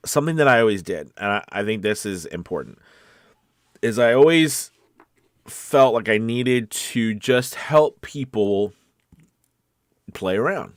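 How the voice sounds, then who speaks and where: A man's voice: on the low side, no room sound, clean studio sound. A man giving a casual, conversational lesson as a voice acting coach, encouraging and often checking in with the listener. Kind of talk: casual instructional talk.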